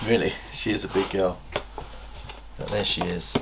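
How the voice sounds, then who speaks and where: Deep gravelly middle-aged man. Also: steady tone, background noise. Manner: chatty, enthusiastic, pauses to recall details. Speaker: snake breeder host. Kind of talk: casual show-and-tell vlog. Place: indoor reptile room lined with tubs. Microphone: camera across a table.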